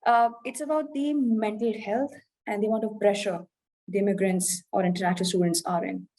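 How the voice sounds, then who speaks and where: A woman in her twenties from India, with an Indian English accent softened by life in the UK, speaking earnestly and reflectively as an interview guest, with fillers and restarts. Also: mid-range voice, steady tone, a little fast. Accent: Indian English, softened by years in the UK. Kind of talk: candid conversational interview.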